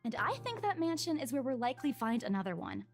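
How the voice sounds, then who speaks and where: A woman voicing an earnest, superstitious young real estate agent in a game, expressive and cautious. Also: sits high, quick pace, soft background music. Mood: ominous, worried. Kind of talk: visual novel game voice acting.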